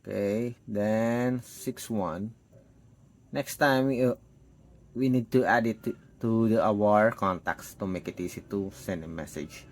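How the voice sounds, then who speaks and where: Slow-paced man demonstrating a gadget. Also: fairly low pitch. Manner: step-by-step, thinking aloud while testing. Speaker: tech product reviewer. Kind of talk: hands-on product demo narration, unscripted.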